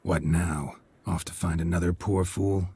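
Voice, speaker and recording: A gruff, terse monster hunter. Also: male voice, low-pitched, unhurried, slight background noise. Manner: terse, dry, flat. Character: gruff, world-weary male monster hunter. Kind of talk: video game cutscene dialogue.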